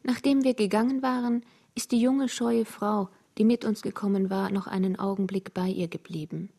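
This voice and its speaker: An actress playing a pensive woman who recounts a story about her friend in a measured radio drama monologue. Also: medium pitch, steady tone, vocal fry, dark tone.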